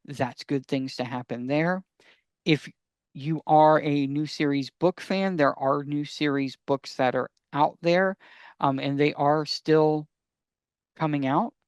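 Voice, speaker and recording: Guy on a podcast, smooth clear slightly high voice, eager explainer, casual, dry close sound. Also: takes it slowly, no room sound. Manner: eager, explanatory, asks and answers own questions. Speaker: podcast co-host. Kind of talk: casual podcast talk, giving recommendations.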